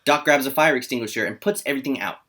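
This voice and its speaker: A man's voice: mid-range voice, very fast, a bit bright. A man hosting a table read and narrating the screenplay's stage directions.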